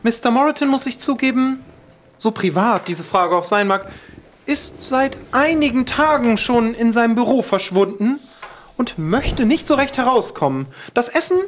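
A man's voice: very high voice, expressive, moderate pace, a little hiss or hum. A man voicing a prim, formal, fussy female head housekeeper in an audio roleplay. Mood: prim, dutiful.

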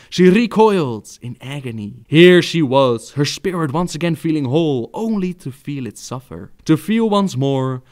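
A man telling fantasy game lore as dramatic narration, theatrical and emphatic, with pauses for effect. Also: mid-range voice, clean tone.

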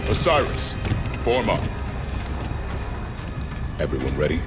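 Lowish-voiced, disciplined squad leader. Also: male voice, sing-song, slow pace, loud music behind the voice, exaggerated voice. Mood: firm command. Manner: clipped, authoritative, military. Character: disciplined male soldier squad leader, serious and focused. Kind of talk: video game voice acting.

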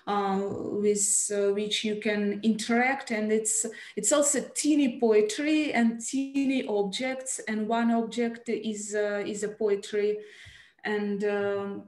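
A young woman with a Russian accent talks slowly and haltingly on a video call, often pausing on fillers as she hunts for words. Her voice sits a little high, and the call carries a roomy echo. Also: dark tone. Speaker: panelist poet. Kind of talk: panel discussion on a video call. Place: home room, indoors. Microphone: video call, headset.

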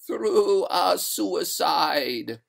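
Storybook water ghost , male reader: high, very slow, gurgling maiden, haughty and indignant, formal old-fashioned diction. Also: expressive, vocal fry, heavily acted. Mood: mournful, doomed. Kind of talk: storybook character voice.

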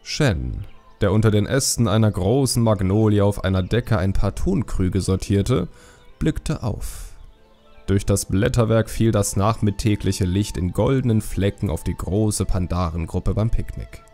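A man narrating a fantasy audiobook in an expressive, descriptive storytelling style. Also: fairly low pitch, unhurried, soft background music.

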